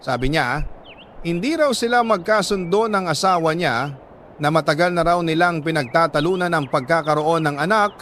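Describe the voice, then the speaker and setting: A man with a Filipino accent reads a letter as a dramatic first-person story, in measured, emotive short phrases. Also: on the high side, fairly bright tone, slight background noise. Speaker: radio drama storyteller reading a listener's letter.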